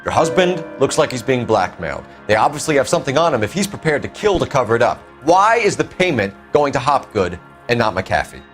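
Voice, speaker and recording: An actor playing a stern, formal 1940s police detective with a blunt, accusatory manner in a crime game. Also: male voice, medium pitch, sing-song, fairly quick, hardly any pauses, faint music behind, lightly acted. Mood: pressing.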